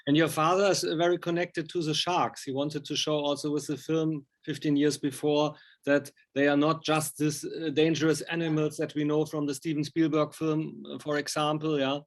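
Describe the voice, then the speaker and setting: A man asking casual interview questions in halting, English. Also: on the high side, little pitch movement. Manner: halting, non-native English phrasing.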